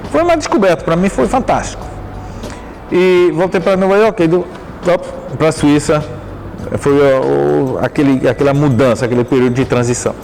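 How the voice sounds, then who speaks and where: A middle-aged man, a dance teacher, telling his life story in an interview in a thoughtful, halting way with frequent restarts and self-corrections. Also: medium pitch, a little mellow, slight background noise, rough recording.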